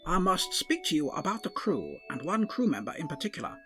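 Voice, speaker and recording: Man playing a rambling space doctor, odd mixed accent, lively swooping pitch, faint music behind. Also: a little fast, heavily acted. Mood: earnest. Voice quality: odd blended put-on accent. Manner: earnest, rambling, formal. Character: fussy male ship's doctor with a put-on accent. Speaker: ship's doctor. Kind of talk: comic audio drama.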